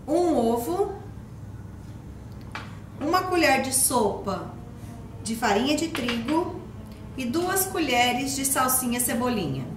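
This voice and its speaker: A woman's voice: sits high, sing-song, takes it slowly, pauses often, reverberant, noisy background. A middle-aged Brazilian woman presenting a recipe step by step to viewers in a home kitchen. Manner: simple step-by-step explaining, addressing viewers directly. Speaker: cooking channel host. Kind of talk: recipe demonstration.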